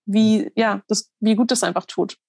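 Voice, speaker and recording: This is a woman, a little hoarse, speaking as a musician guest in a relaxed interview. She talks earnestly, with plenty of fillers and restarts. Her voice sits at a middle pitch and sounds dry and close. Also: normal speed, no room sound. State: slightly hoarse. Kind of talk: casual interview talk.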